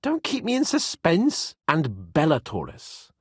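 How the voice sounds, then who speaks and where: A man's voice: fairly high pitch, wildly swooping pitch, slow pace, slightly rough, dry recording, studio quality, heavily acted. A man playing a cocky, haughty young medieval nobleman in a video game, sardonic, emphatic and brash. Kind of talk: video game character dialogue.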